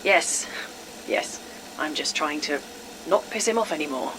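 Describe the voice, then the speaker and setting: A woman's voice: low-pitched, lively intonation, unhurried, noisy background. A woman playing a tired, exasperated and sardonic scientist in an audio drama. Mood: guarded.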